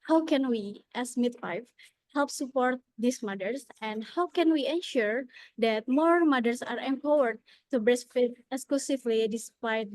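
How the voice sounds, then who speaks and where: Young indonesian woman, earnest presenter, slightly higher voice, dry close sound. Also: darkish tone, no echo, rough recording. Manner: earnest, with frequent "okay" and "uh". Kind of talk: conference presentation.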